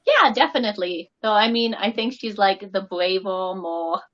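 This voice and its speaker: A woman's voice: medium pitch, moderate pace, fairly bright tone, highs cut off. A woman from Canada, an author guest talking casually over a video call, chatty and full of "like.".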